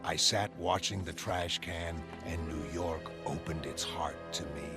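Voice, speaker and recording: Grim masked vigilante journal monologue, deep gravelly growl, terse lines, dark comic drama. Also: male voice, unhurried. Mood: brooding, suspicious. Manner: clipped, terse, monotone sentences. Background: loud music.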